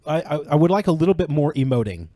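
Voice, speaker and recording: A man's voice: fairly high pitch, big pitch swings, fast talker, mellow, no room sound, clean studio sound. A man co-hosting a podcast in casual banter, giving emphatic, opinionated takes. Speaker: podcast co-host.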